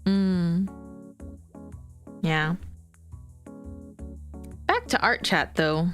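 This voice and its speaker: Young woman streamer, slow chatty rambling, lots of giggles, quiet music in the back. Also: on the low side, animated. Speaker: streamer chatting with chat while drawing. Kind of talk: casual live-stream chat.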